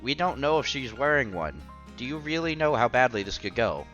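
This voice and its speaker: A man reading a visual novel aloud as a streamer, breaking into casual, joking asides in an animated way. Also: medium pitch, a little fast, lo-fi sound. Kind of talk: casual let's-play commentary mixed with expressive story reading. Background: music under the voice.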